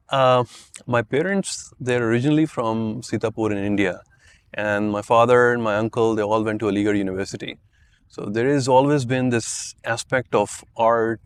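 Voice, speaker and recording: Low-voiced Pakistani man telling his story. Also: slight background noise. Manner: rambling, many "you know" and "I mean" fillers. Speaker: guest. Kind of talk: relaxed interview answers.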